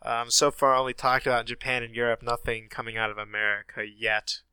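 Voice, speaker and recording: Stuffy guy rattling off game news, lots of uhs, bright clear voice. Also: medium pitch, completely flat delivery, brisk, dry recording. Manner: chatty, many ums and uhs. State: stuffy from a sinus infection. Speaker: podcast co-host. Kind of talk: casual chat, reading news.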